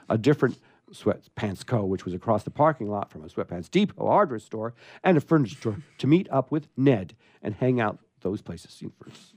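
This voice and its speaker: A mellow male voice, dry and close, reads a comic story aloud as a radio host would. He slips playful asides into the reading and sounds amused by the material. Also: mid-range voice, no echo.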